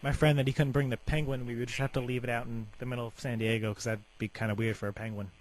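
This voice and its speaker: A young man making a deadpan prank phone call in a rambling, hesitant, casual way full of filler words. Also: medium pitch, fast talker. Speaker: prank caller. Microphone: telephone. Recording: phone call with faint background noise.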